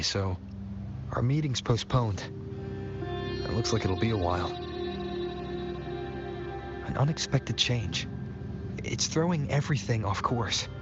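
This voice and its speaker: A man's voice: medium pitch, a little slow, pauses often, lightly acted. A man playing a serious, wary young champion gladiator in a fantasy video game, reserved and thoughtful in delivery. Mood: matter-of-fact. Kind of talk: restrained fantasy game dialogue. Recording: muffled game audio under music.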